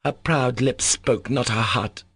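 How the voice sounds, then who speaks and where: A man's voice: mid-range voice, fast talker, a bit bright, slightly rough. A man voicing a venomous bitter young woman. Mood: disgusted. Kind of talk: audiobook character voice.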